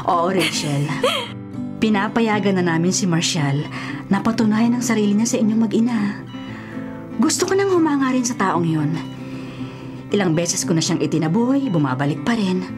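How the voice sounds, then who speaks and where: Actress as caring Filipino mother, swooping pitch. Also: animated, moderate pace, pauses often, lightly acted. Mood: reassuring. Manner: motherly, gently emphatic. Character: caring wealthy mother, concerned and softening. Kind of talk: Tagalog radio drama acting. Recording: radio drama with music underneath.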